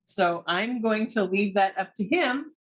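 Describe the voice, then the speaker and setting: A woman session host introducing a guest speaker in a relaxed, conversational way. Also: mid-range voice, unhurried.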